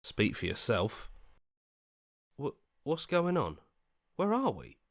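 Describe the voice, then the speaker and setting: Anxious young hero, swinging pitch. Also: male voice, wildly swooping pitch, slow pace, no room sound, clean studio sound, exaggerated voice. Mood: cautious, then flustered. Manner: hesitant, stammering, pleading. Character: anxious, earnest young male hero, an inner voice. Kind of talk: video game voice acting.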